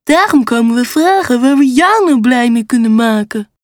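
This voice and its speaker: A woman's voice: fairly high pitch, lively intonation, moderate pace, dry recording, clean studio sound, close to an everyday voice. A woman voicing a plucky, playful little female pug dog in a children's storybook, with a frank manner.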